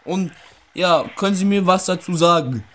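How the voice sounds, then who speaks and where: A young man with a fairly high voice plays prank caller in a room. His delivery is halting and hesitant, and there is faint noise with the odd click on the recording. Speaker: prank caller, video host. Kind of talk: prank phone call with asides to viewers. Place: indoor room with wooden floor.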